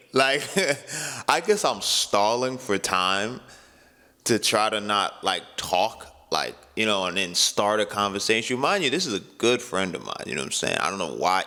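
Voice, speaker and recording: A man with a hoarse, worn-out voice, telling a story to the camera in a relaxed, slangy way full of asides. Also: lowish voice, long reverb tail. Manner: laid-back slangy talk, many filler asides. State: hoarse, voice shot from yelling. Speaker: vlogger.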